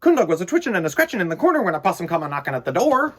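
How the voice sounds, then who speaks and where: A man hosting a children's storytime, chatting to young listeners and reading aloud in a playful, animated way. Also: high voice, very fast.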